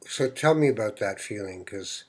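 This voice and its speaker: A middle-aged man born in Morocco, hosting a podcast interview in a relaxed, meandering way with frequent fillers. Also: on the low side, normal speed, heavy background noise. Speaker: podcast host. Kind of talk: conversational interview.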